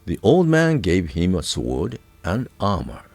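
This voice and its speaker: A man narrating a scripted folk tale with a deliberate storytelling delivery. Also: deep voice, wildly swooping pitch, gravelly, a little mellow, no room sound.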